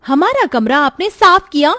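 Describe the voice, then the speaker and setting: Woman acting high-voiced, emotional family-drama heroine. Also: big pitch swings, normal speed, bright, forceful tone, heavily acted. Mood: angry, scornful. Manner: emphatic, emotional. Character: dramatic young and motherly women of a troubled family. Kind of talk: melodramatic moral story dialogue.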